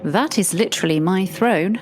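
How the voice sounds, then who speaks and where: A woman's voice: fairly low pitch, normal speed, soft background music, lightly acted. A woman playing a sardonic, unimpressed female Devil in an audio drama, delivering dry, sarcastic retorts.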